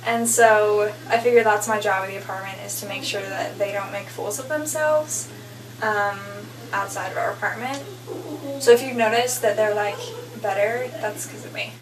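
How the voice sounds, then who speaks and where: A woman's voice: mid-range voice, steady tone, moderate pace, a crowd in the background. A woman in her twenties from Utah, chatting and teasing about her roommate with lots of fillers. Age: 20s. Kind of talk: casual chat to camera. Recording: echoey room, distant mic, faint hum.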